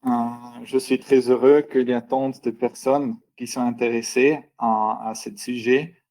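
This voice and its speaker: A man presenting a webinar in French, which is not his first language, with frequent pauses and restarts. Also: mid-range voice, fairly even intonation, darkish tone. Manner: halting, frequent hesitations and restarts. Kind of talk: online presentation.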